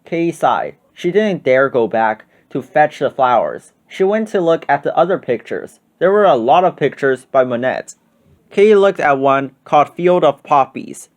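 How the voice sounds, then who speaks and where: A man reading a children's storybook aloud as scripted, expressive narration. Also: on the high side, moderate pace. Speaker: storybook reader.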